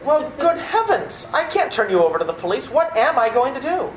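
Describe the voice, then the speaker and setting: Woman acting a flustered nephew, echoey and animated. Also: high voice, fairly quick. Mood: exasperated. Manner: wry, sputtering, incredulous. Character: exasperated, flustered nephew, an adult man. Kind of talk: old-time radio play acting. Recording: echoey room, slight background noise.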